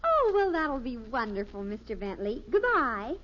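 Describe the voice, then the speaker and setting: An actress playing an indignant, scheming American teenage daughter in a 1950s radio sitcom, emphatic and exclamatory. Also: female voice, fairly high pitch, big pitch swings, moderate pace, slight background noise. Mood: brightly polite. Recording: muffled old radio recording.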